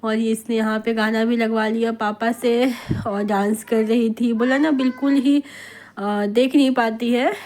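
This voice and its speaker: A woman in her thirties from India, hoarse with a cold, narrating her home vlog in a chatty, rambling voice-over and mixing English words into her talk. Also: a little high, fairly even intonation, unhurried, a little mellow. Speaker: vlogger.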